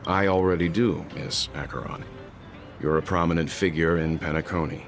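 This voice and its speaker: A guarded, authoritative spacefarer from a video game. His voice is extremely low and he speaks formally and deliberately. Music is clearly audible behind him. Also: steady tone. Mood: polite. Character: stern, composed, guarded male space-traveling crew veteran.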